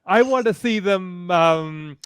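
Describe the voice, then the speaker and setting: Slow, clear-voiced man on a panel. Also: high voice, no room sound. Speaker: podcaster and panelist. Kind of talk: casual panel discussion. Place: online video call.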